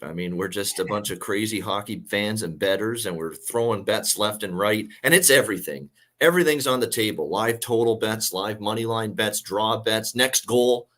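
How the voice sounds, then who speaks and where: A man hosts casual sports talk in a lowish voice. It is gravelly and worn from a night of singing, and he rambles along with plenty of filler pauses. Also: a bit bright. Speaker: host of a sports betting show.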